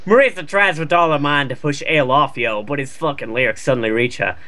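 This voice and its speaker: A man's voice: medium pitch, a little fast, bright, forceful tone. A young man casually reading fan fiction aloud and chatting about it in a profane, exasperated way. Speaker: fan fiction reader and host. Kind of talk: casual mocking read-aloud and chat.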